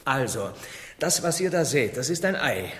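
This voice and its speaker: An actor playing a naive, eager youth in a satirical radio play, earnest and rambling in a boyish way. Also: male voice, medium pitch, big pitch swings, normal speed, a bit bright. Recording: long reverberant hall.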